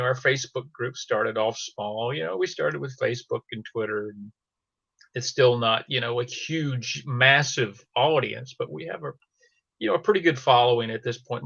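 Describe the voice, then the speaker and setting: Male podcast guest, bright gravelly voice, hesitant and self-effacing, chatting casually over slightly dull audio. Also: medium pitch, no echo. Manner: halting, hesitant, repeats words. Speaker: fiction podcast host. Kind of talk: casual panel conversation.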